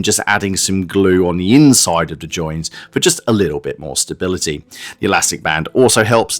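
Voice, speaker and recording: A man's voice: deep voice, dry recording. A man presenting a hobby kit review in a casual, chatty, informal way with a self-deprecating streak. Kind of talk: casual hands-on product review narration.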